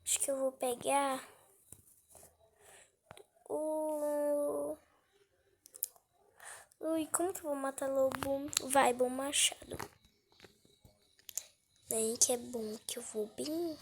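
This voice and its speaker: A woman's voice: high-pitched, fairly even intonation, slow, long pauses, a little hiss or hum. A woman with a cold, giving casual gameplay commentary; she is chatty and easily startled, and often drops to a whisper. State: has a cold. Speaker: gaming channel host.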